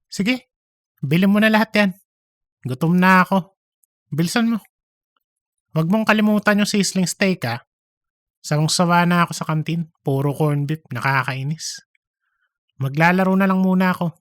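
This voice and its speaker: A woman's voice: lowish voice, moderate pace, pauses often, dry recording, studio quality, pitched below the performer's own voice, close to an everyday voice. A woman voicing a grumpy, exasperated male office worker, whiny and complaining, in a comedic audiobook. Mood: grumbling. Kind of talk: comedic audiobook character acting.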